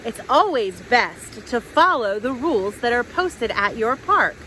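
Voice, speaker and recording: A woman tells a story for small children in simple, expressive phrases. Her voice is fairly high and very lively, delivered without hurry. She is outdoors in a park. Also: measured pace, poor audio. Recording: outdoors with faint wind noise.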